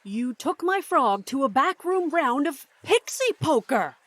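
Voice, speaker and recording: An actress playing a stern, haughty, long-suffering female client in an audio drama, speaking deliberately and with exasperation. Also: high-pitched, sing-song, a bit bright, dry recording, exaggerated voice. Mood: angry.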